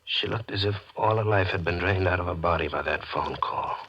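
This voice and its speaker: Haunted, possessive antihero: deep American voice, clipped vintage radio delivery. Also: male voice, a little fast, pushed and bright, muffled recording, slight background noise. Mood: haunted narration. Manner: intense, clipped, melodramatic. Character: haunted, possessive, guilty man telling his own downfall. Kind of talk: 1940s radio acting, first-person narration.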